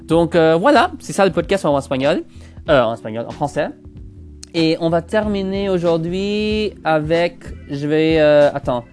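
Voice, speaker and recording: A man's voice: a little high, expressive, moderate pace, a little mellow, soft background music. A Canadian man in his twenties with a France-style French accent, hosting a casual solo podcast in a rambling, hesitant way that keeps restarting phrases. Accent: Parisian-style French learned in France. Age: 20s. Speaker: podcast host.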